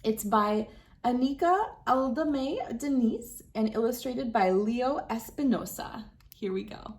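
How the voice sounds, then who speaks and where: A woman hosting a read-aloud, narrating for children with expressive, deliberate phrasing. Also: a little high.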